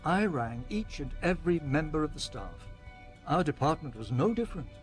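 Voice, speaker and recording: Earnest male manager, radio play, played by a man: placating, self-justifying, highish voice over faint music. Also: big pitch swings, normal speed. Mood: defensive, earnest. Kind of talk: radio play monologue acting.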